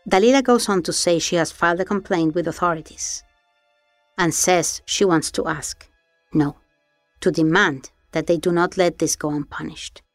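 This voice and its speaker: A woman hosting an investigative documentary, giving measured, serious scripted narration. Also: fairly low pitch, dry recording, soft background music. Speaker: documentary podcast host and narrator.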